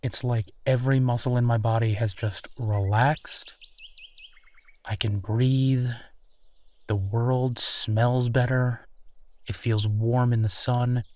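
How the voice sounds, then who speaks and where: A man playing a friendly, easygoing werewolf boyfriend in human form, talking in a musing, conversational way with hesitant pauses in an intimate roleplay audio. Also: mid-range voice, little pitch movement, a little mellow, lightly acted. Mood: contented.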